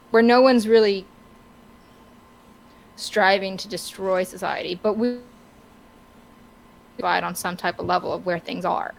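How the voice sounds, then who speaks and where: A woman co-hosting a casual podcast, talking through her opinions in a halting, wordy way as she searches for words. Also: mid-range voice, low-quality recording. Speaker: podcast co-host.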